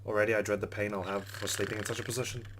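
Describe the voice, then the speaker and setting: A man's voice: fairly low pitch, little pitch movement, very fast, a bit bright, a little hiss or hum. A man hosting a let's play, reading game narration aloud and adding casual commentary.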